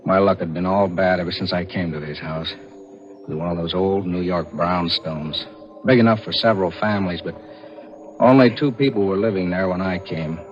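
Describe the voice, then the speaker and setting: A man's voice: deep voice, moderate pace, slight background noise, close to an everyday voice. An American actor playing a scheming ex-convict forger who tells his own crime in hardboiled 1940s radio thriller style. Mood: grim, brooding. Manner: hardboiled first-person narration and dialogue. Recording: muffled vintage radio recording with music.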